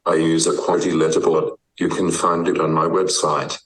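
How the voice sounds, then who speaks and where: An eighteen-year-old man speaking through text-to-speech software with an Australian accent, in a measured, proclaiming synthetic delivery. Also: very deep voice, level tone, echoey room, distant mic, a little hiss or hum, low-quality recording. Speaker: guest, advocate. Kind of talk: typed text read aloud by speech software.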